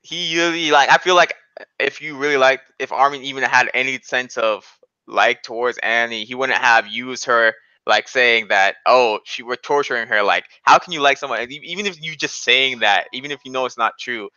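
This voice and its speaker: A young man with a bright, crisp voice banters casually, full of slang and ready to argue his point. He sounds boastful, and the recording is close and dry but a bit dull and lo-fi. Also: medium pitch, normal speed, no echo.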